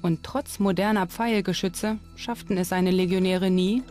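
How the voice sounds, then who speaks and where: Low, mellow-voiced female documentary narrator. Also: steady tone, moderate pace, faint music behind.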